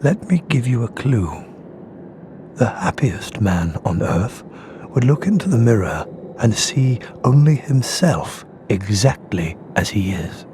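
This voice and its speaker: Wise old wizard, slow, low and mellow, grave but kindly, video game, faint music behind. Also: male voice, sing-song, a little hiss or hum. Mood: gentle, grave. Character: wise, gentle old male wizard headmaster.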